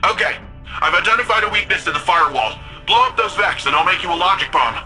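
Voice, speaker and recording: A man's voice: high voice, animated, quick pace, pushed and bright, faint music behind, full character voice, poor audio. A man playing a friendly, wry, tech-savvy ally in a sci-fi video game, chatty and quippy. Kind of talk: video game character dialogue.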